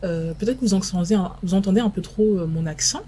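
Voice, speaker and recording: A woman expert guest on a televised panel debate, from France, answering in a measured, analytical way with frequent halting pauses. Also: mid-range voice, dark tone, a little hiss or hum. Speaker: guest expert in international relations.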